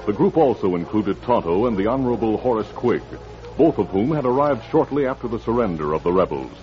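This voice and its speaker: Male radio announcer, lowish voice, lively dramatic narration, music behind, muffled vintage recording. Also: brisk.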